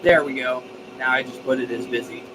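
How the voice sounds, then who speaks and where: A man's voice: mid-range voice, fairly even intonation, fairly quick, noisy background. A man chatting casually on a live stream as host, enthusiastic and effusive, with a folksy, informal, mildly profane way of talking. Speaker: live stream host of a DIY radio channel.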